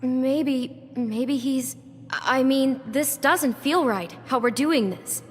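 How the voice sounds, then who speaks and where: An actress playing a timid, doubting townswoman in a video game, halting and uncertain. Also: high-pitched. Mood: hesitant. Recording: echoey hall, music behind.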